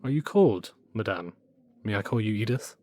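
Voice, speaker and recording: A man playing a Nazi official in an audio drama, formal and insinuating, with quiet menace under his courtesy. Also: on the low side, lively intonation, moderate pace, no echo. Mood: coolly courteous.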